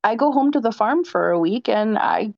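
A woman's voice: fairly high pitch, animated, moderate pace, vocal fry, no room sound. Chatty woman on a dull mic. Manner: chatty, many likes. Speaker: podcast co-host. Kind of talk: casual chat.

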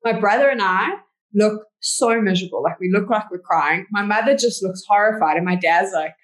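A woman's voice: mid-range voice, moderate pace, rough recording. Chatty South African woman. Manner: chatty storyteller, many "like" fillers. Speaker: podcast guest. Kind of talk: casual interview chat.

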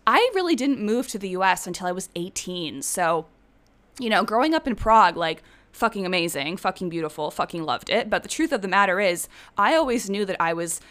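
Female vlogger, 30s, from the Czech Republic. Clean, smooth tone, medium pitch, chatty and unguarded storytelling. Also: sing-song, fairly quick, a bit bright. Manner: chatty, candid, rambling, swears casually. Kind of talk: casual personal storytelling to viewers.

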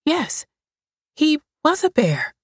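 A woman's voice: sing-song, slow pace, dry recording, studio quality, heavily acted. A woman voicing a frightened pleading young girl. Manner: high, pleading, breathless.

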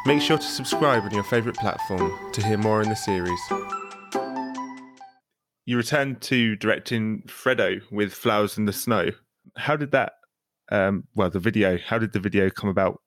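A man hosting a podcast, reading the intro and then asking a guest questions in a calm, measured way. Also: lowish voice. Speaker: podcast host. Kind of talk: scripted intro and interview questions. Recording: faint music bed under voice.

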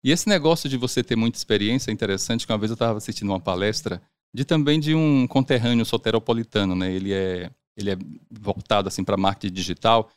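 A man's voice: on the low side, fast, few pauses, clear, resonant tone, no room sound. A man from Brazil's northeast, a podcast guest who tells his story casually, often tagging phrases with "né?" and laughing. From Brazil, born in Sergipe, raised in Salvador. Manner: storytelling, frequent "né?" tags and laughs. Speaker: guest, pension specialist.

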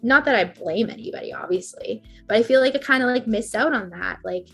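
A woman's voice: a little high, brisk, creaky, a bit bright, husky, soft background music. A woman in her twenties, a podcast guest in casual chat, rambling and chatty with lots of "like" fillers. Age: 20s. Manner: chatty, rambling, heavy use of "like".

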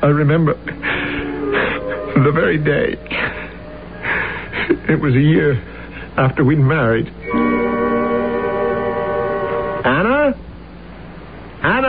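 An actor playing a boastful, self-deluded husband who talks with brash bluster, in a radio drama. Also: male voice, fairly high pitch, animated, takes it slowly, long pauses, music in the background. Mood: cheerful. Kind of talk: 1970s radio drama acting. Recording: tinny old radio broadcast with faint hiss.

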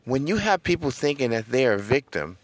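A middle-aged man with a smooth voice hosting a political podcast, talking straight to his listeners and building into an emphatic, preacher-like cadence. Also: mid-range voice, big pitch swings, brisk, no room sound, poor audio. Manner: addresses listeners directly, seeks call-and-response. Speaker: podcast host. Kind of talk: casual political podcast monologue.

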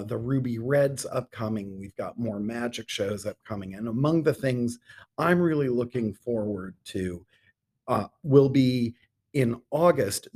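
A man's voice: medium pitch, darkish tone. A middle-aged man speaking as a theatre director being interviewed on a podcast, articulate and enthusiastic, with ornate turns of phrase.

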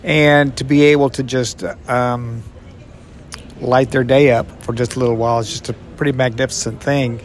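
A man's voice: mid-range voice, fairly even intonation, moderate pace, slight background noise. A man in his sixties, a grandfather and mission trip volunteer, answering interview questions in a reflective, heartfelt way. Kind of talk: podcast interview.

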